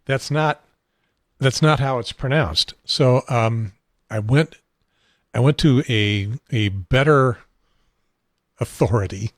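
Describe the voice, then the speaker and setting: A seventy-year-old man with an American accent and a husky, unsteady voice, hosting a casual unscripted talk show in a rambling, halting, wry way. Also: medium pitch, dry recording, clean studio sound.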